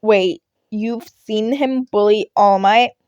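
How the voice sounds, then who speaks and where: Woman as a weary, deadpan male teacher. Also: mid-range voice, slow, no room sound, muffled recording, lightly acted. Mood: grumpy. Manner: flat, curt, exasperated. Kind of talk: podfic character voice.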